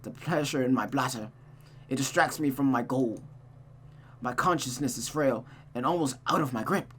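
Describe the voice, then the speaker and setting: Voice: medium pitch, normal speed, a little hiss or hum. A streamer reading a visual novel aloud expressively, with dramatic narration and casual asides. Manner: expressive, often restarts misread sentences.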